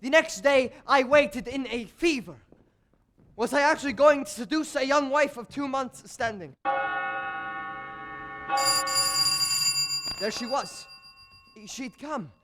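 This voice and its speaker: An actor in a stage play voicing a scheming, lecherous older court composer with formal, courtly, insinuating phrasing. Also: male voice, very high voice, a little slow, a bit bright. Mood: agitated. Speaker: stage actor in a school one-act play. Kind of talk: theatrical stage period drama acting.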